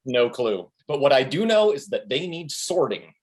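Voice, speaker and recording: A man's voice: medium pitch, big pitch swings, moderate pace, close to an everyday voice. A man in a comedy play reading, playing a brash, crude, cocky tour manager who talks in a bossy, jokey, mock-wise way. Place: remote reading over a video call.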